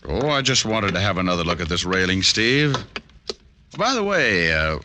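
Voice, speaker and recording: Tough american private eye, low voice that swings around a lot, wry, old hissy radio show. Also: male voice, big pitch swings, moderate pace. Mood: needling. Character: tough, wry private detective man, stubborn and probing. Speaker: private detective. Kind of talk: 1950s radio detective acting with first-person narration. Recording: old radio recording with hiss, clicks.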